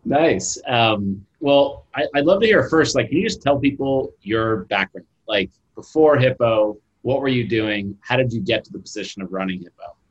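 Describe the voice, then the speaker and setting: A man's voice: medium pitch, animated, normal speed, hardly any pauses, slightly rough, lo-fi sound. A man hosting a podcast, reading an intro and then asking friendly questions. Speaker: podcast host. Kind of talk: scripted intro and interviewing.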